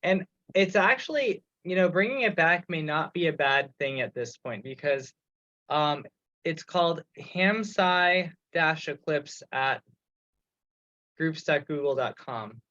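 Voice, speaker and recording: Higher-voiced man on a dull conference call. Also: animated, slow pace, pushed and bright. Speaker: project organizer on a committee call. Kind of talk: casual meeting discussion. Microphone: remote teleconference call.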